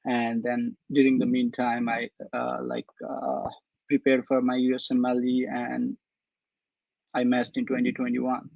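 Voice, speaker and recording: A man talks earnestly over a video call, his pitch staying flat and even. The sound is dry and dull, like lo-fi call audio. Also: unhurried, creaky, no room sound. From Nepal. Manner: earnest, repeats words while searching. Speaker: webinar panelist, doctor. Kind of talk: casual advice talk.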